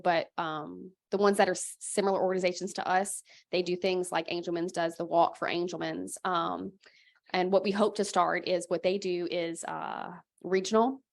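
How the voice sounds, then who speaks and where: A woman with a somewhat low voice speaks off the cuff on a panel. She explains things plainly as an organizer would. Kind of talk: unscripted panel talk.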